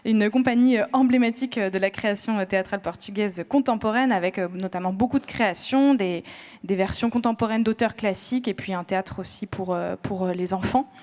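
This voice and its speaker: A woman's voice: mid-range voice, brisk, darkish tone. A woman moderating a round table, introducing each panelist with frequent hesitations and restarts. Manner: hesitant, many "euh" fillers and restarts. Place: conference room with audience.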